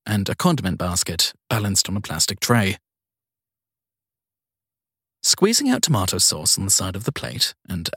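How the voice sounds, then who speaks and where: Male narrator, low pitch, husky edge, measured scripted storytelling, audiobook style. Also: fairly bright tone, no echo, studio quality.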